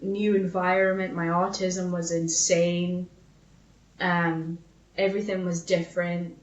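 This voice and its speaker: A woman's voice: on the low side, little pitch movement, measured pace. Informal woman podcaster in an echoey room. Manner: informal, sweary, often giggly. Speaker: podcast co-host. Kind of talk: casual chat, recounting family arguments. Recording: echoey room, slightly dull sound.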